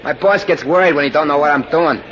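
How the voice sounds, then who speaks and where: A muffled, faintly noisy old radio recording carries an actor as a casual working man. His voice sits on the high side and sounds offhand. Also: quick pace, a bit bright, lightly acted. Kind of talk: old-time radio crime drama acting.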